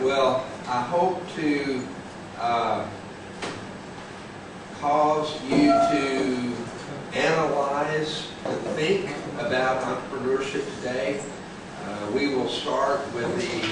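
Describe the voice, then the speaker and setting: A man giving a classroom lecture as a visiting lecturer, halting and pausing with many fillers. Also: medium pitch. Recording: echoey hall, voice far from mic, loud background hiss.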